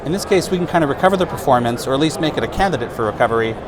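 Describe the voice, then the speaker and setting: A man in his thirties, a product specialist, giving a relaxed, explanatory trade-show demo on a lapel mic. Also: mid-range voice, rapid-fire. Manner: relaxed, explanatory, casual fillers. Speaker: product specialist demonstrating software. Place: trade-show booth, indoors. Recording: noisy trade-show booth.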